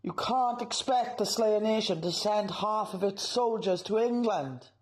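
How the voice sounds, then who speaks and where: A man's voice: sits high, unhurried. A man playing an earnest, worried slayer council member in an amateur audio drama, grave and urgent.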